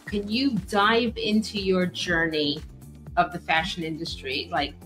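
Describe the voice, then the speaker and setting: A woman's voice: lowish voice, steady tone, measured pace, a bit bright, poor audio. Brief: woman host, gushing interviewer, light music bed under the voice. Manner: gushing, effusive praise. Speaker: talk show host. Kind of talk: hosting an interview.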